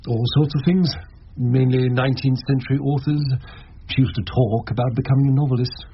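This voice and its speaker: A man's voice: mid-range voice, fairly quick, husky. An actor playing a well-to-do older English estate agent and father in a radio drama, polite, hesitant and restrained. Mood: subdued, fond. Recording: muffled, noisy lo-fi radio recording.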